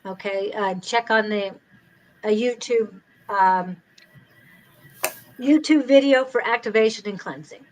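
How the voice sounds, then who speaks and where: A woman's voice: mid-range voice, measured pace, pauses often, a little hiss or hum, rough recording. A woman co-hosting a live psychic reading stream, calling names and keeping order. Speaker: co-host.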